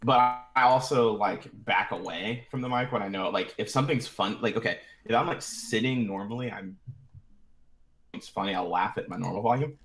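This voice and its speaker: A man talking casually on a podcast, rambling in halting bits. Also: on the low side, a bit bright. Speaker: podcast regular. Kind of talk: casual chat.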